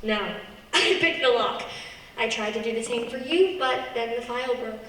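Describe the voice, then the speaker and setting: A woman's voice: fairly high pitch, normal speed, fairly bright tone, close to an everyday voice. A woman acting a feisty, stubborn young woman in a radio play, with spirited, argumentative delivery. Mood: breezy. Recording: echoey hall, distant mic, noisy.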